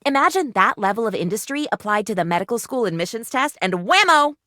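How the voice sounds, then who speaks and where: An actress voicing an earnest, anxious, bookish would-be doctor in a video game, with quaint, wordy, theatrical delivery. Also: female voice, on the high side, very animated, fast, bright, forceful tone, no echo, studio quality. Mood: eager, chatty.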